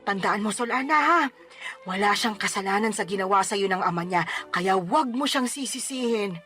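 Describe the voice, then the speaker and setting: A woman's voice: mid-range voice, lively intonation, moderate pace, bright, forceful tone, soft background music. A woman playing an older mother and grandmother in a radio drama, playful and teasing, then firm and lecturing. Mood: stern. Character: teasing, doting older grandmother, stern when needed. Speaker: mother character.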